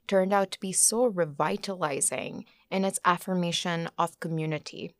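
A young woman from Pakistan hosts an interview podcast, shifting between a read intro and casual, curious questions. Her voice is smooth and clear, a touch low for a woman. The sound is close and dry, with no room around it. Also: no room sound. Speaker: podcast host.

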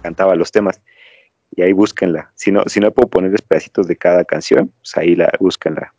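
Deep-voiced Mexican man, rambling podcast host. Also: steady tone, a little fast, darkish tone, no room sound. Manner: rambling, storytelling, digressive. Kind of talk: casual chat. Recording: dry, slightly dull lo-fi audio.

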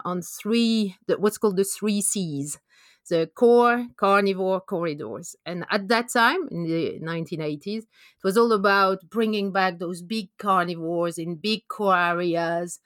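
A woman's voice: lowish voice, no echo, studio quality. A woman from France with a French accent, a conservation biologist being interviewed, speaking thoughtfully with frequent ums and uhs. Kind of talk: podcast interview.

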